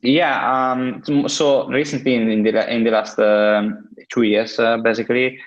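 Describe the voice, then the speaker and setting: A man's voice: mid-range voice, fairly even intonation, normal speed, highs cut off. A man in his thirties from Italy with an Italian accent, answering interview questions casually and hesitantly, full of fillers and restarts. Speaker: guest, crypto entrepreneur.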